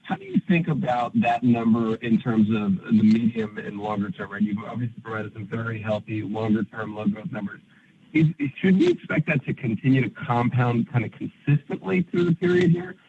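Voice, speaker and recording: A man's voice: medium pitch, big pitch swings, moderate pace, narrow, tinny audio, a little hiss or hum. A man, a financial analyst, asking questions in a casual, upbeat, rambling way. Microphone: phone.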